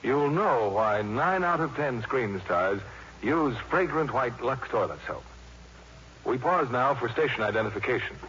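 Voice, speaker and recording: A man's voice: medium pitch, lively intonation, a little slow, a bit bright. A man announcing scripted 1950s radio commercials in a polished, salesmanlike manner. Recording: muffled, noisy old 1950s radio recording.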